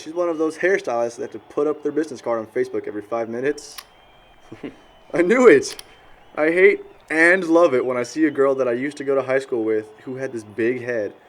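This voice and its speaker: A young American man playing a crude, mocking loudmouth among friends in an audio drama, blunt and slangy. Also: mid-range voice, natural voice. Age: young adult. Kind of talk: conversational audio drama acting.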